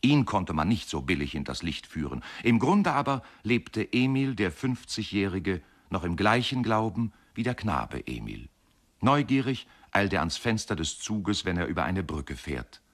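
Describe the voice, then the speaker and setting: Radio-play narrator: reflective man, low register, measured and expressive literary storytelling. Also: heavily acted. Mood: reflective, wondering. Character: reflective male narrator recalling a riverside boyhood.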